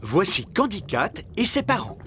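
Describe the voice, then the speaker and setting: A woman narrating a French cartoon in a calm storybook manner. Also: mid-range voice, moderate pace, close to an everyday voice. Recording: with loud background music.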